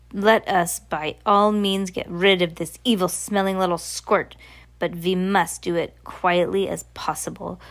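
A woman voicing a vicious, imperious witch leader who gives orders in oddly phrased sentences, reading from a storybook. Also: on the low side. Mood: scheming, commanding. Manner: commanding, oddly phrased grammar. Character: imperious, scheming, vicious female Grand High Witch.

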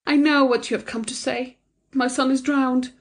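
Woman voicing a grieving mother, high voice, bitter, intense, audiobook. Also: level tone, normal speed. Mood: despairing. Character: grief-stricken proud middle-aged mother.

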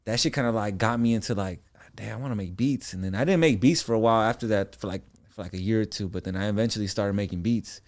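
A man's voice: lowish voice, quick pace. A man in his late twenties, a music producer guest telling stories in loose, rambling slang with frequent laughter. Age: 20s. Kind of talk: casual podcast interview.

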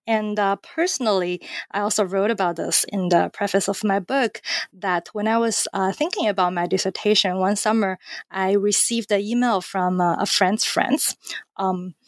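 A woman's voice: mid-range voice, moderate pace, dry recording. Woman from China, academic guest, thoughtful with fillers, dry close sound. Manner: thoughtful, many fillers and restarts. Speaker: author and political scientist. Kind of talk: academic interview answer.

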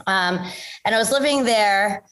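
A woman's voice: medium pitch, a little slow, a bit bright, rough recording. A woman guest in a casual interview, chatty and self-deprecating, with frequent fillers and restarts as she tells her story. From United States.

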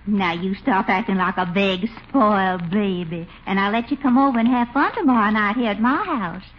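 An actress playing a coquettish, wheedling Southern belle in a 1950s radio comedy, coy and pleading with an American Southern accent. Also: female voice, mid-range voice, moderate pace, natural voice. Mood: pouting. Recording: muffled, hissy old radio recording.

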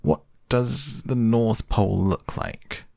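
A man's voice: fairly low pitch, animated, slow pace, gravelly, warm tone, no echo, studio quality, voice pushed up, full character voice. A man voicing an earnest, slightly hesitant young boy in a children's storybook. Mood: earnest, curious. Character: earnest young boy, friendly and a little unsure.

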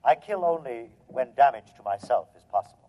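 An actor playing an emotionless, menacing alien machine in an old-time radio drama, speaking formally and without hurry. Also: male voice, mid-range voice, expressive, fairly quick, natural voice. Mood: cold, calm. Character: cold, polite alien humanoid machine, calmly menacing. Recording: muffled vintage radio recording.

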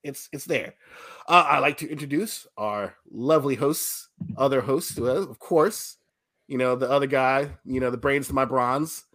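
A man's voice: medium pitch, moderate pace, a bit bright. A man hosting a casual comedy podcast, joking and poking fun at himself in a playful way. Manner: joking, self-deprecating, playful. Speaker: podcast host.

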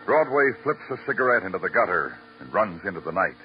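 An actor playing a world-weary New York police detective in a vintage radio noir, with terse, moody, poetic delivery. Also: male voice, low voice, very animated, fast, loud music behind the voice. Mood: brooding. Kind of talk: 1940s-50s radio noir narration and acting. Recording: muffled vintage radio broadcast.

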